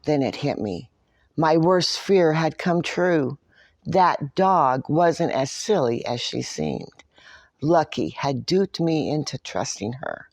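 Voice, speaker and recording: A woman reading a picture book in the first person as a smug, grumbling but soft-hearted leprechaun. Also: low voice, lively intonation, slow pace, no echo, clean studio sound, full character voice. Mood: worried, betrayed. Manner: boastful, dramatic storytelling.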